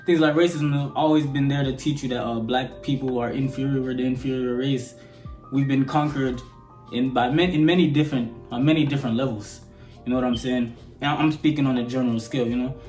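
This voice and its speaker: A man's voice: medium pitch, a little mellow. An eighteen-year-old man from Sudan with an American accent, ranting to camera in a rambling, conversational way and often asking whether you get what he is saying. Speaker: vlogger. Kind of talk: opinion rant to camera. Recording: roomy echo.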